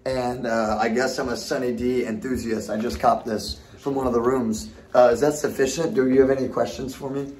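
A man's voice: medium pitch, steady tone, a little fast, hardly any pauses, darkish tone, a little hiss or hum. A young man introduces himself to someone else's handheld camera in a dorm hallway. He has a mid-range voice and a playful, jokingly formal, wordy way of talking. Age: young adult. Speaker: college student, dorm neighbor. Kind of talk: casual self-introduction on camera.

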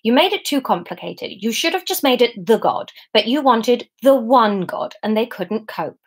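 A woman's voice: a little high, animated, moderate pace, husky, voice pushed up. A woman voicing a petulant devil in a storybook reading, churlish, complaining and easily irritated. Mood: accusing.

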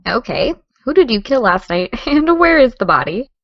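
A woman playing a determined, sardonic teenage podcaster in an audio drama, with a dry, brisk delivery. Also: a little high, big pitch swings, dry recording, dull audio. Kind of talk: naturalistic audio drama dialogue.

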